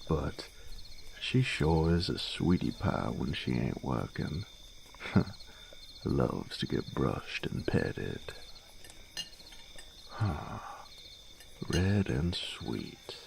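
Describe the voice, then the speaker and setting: Male actor as gruff tender farm-boy knight, rumbling bass, slow folksy drawl, fantasy audio drama, faint crickets behind. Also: a little mellow, a little hiss or hum, heavily acted. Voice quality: very deep, gravelly. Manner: folksy rustic drawl with loose grammar.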